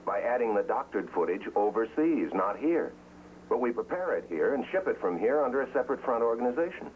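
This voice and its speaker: A man's voice: mid-range voice, very animated, brisk, a little mellow, close to an everyday voice, rough recording. An actor playing an earnest, guarded undercover man in a 1950s TV drama, clipped and serious in his delivery. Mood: persuasive. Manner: clipped, serious, matter-of-fact. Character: guarded, earnest man working undercover inside a communist cell. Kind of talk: 1950s TV drama acting with terse voice-over. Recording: old 1950s TV soundtrack, some noise.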